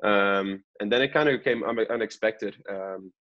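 A man's voice: lowish voice, moderate pace. A man in his twenties from Belgium giving casual interview answers as a soccer player, often hedging with "you know" and "I think". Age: 20s. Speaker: professional soccer player, podcast guest. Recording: echoey room, dull lo-fi sound.

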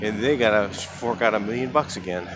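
A man's voice: on the low side, fairly quick, music in the background. A man who works as a house painter, walking around a building outdoors and thinking aloud in casual commentary, often trailing off mid-thought. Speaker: house painter filming a walkthrough. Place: outdoors beside a large building. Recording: outdoor camera audio with traffic noise.

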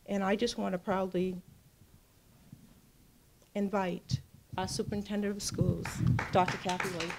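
Woman emcee, slow heartfelt intros, podium mic, noisy hall. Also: mid-range voice, little pitch movement, creaky. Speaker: event organizer and emcee. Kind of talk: introducing speakers. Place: large community function room. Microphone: podium microphone. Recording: noticeable background noise in a hall.

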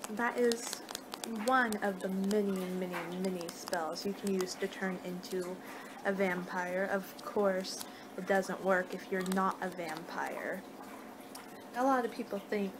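A young American woman doing a casual ASMR roleplay as a vampire, rambling conversationally on a homemade webcam recording. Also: medium pitch. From United States. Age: young adult. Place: living room indoors at home. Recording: noisy amateur webcam recording.

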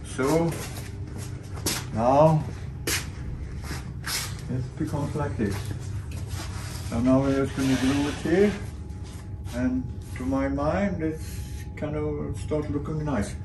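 Slow-talking man in his eighties. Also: fairly high pitch, background noise. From Sweden. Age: 80s. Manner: slow, halting, plain-spoken explaining. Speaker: solo sailor and boatbuilder. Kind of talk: casual workshop vlog. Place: large indoor boat workshop.

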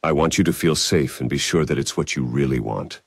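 Very deep, husky fantasy hero voiced by a man. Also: brisk, no room sound, clean studio sound, full character voice. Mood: caring. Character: male fantasy hero, steady, caring, protective lover. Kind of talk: intimate video game dialogue acting.

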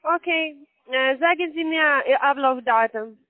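High-pitched woman on a phone line, chirpy, broken german. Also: measured pace, faint music behind. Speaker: call-center scammer. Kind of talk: phone call.

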